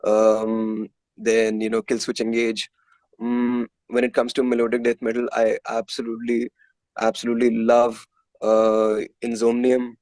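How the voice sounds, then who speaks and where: A man's voice: mid-range voice, monotone, a little slow, a little mellow, no room sound, poor audio. Indian man chatting casually in an interview. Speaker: musician being interviewed.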